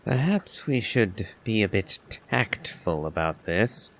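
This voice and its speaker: Deep-voiced, slow-talking man commentating a game. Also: sing-song, fairly bright tone. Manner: musing, wry, measured. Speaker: gaming streamer.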